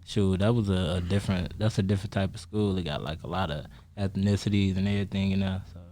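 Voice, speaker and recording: A young man co-hosting a radio podcast, chatting in a relaxed, slangy, easygoing way. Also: sits low, fast, no room sound. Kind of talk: casual interview chat.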